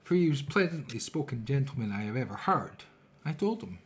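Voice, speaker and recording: Humble, loving Victorian father in mourning, male reader acting him out, tender storybook delivery, middle pitch. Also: big pitch swings, a little fast, close to an everyday voice. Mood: warm, subdued.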